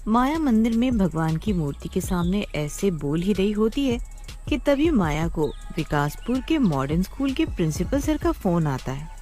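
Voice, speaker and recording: A woman narrating a scripted story in an expressive, dramatic storytelling style. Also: medium pitch, normal speed, soft background music.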